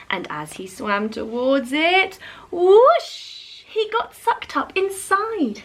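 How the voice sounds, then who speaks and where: A woman's voice: sits high, slight background noise. A woman storyteller reading a rhyming picture book aloud expressively for young children. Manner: animated, addresses young listeners.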